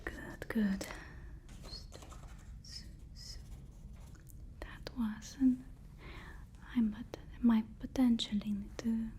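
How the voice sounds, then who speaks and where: A woman performs an ASMR roleplay as an eager-to-please assistant, almost entirely in a whisper. Her tone is mellow and her pace is unhurried. She speaks softly and hesitantly, often repeating small words. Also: a little high. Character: deferential, flattering personal assistant to a super villain.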